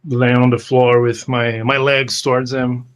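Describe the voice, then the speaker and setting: A man playing a tabletop game, improvising his actions in a halting, hesitant way and repeating words. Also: medium pitch, flat delivery. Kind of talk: improvised first-person description of game actions.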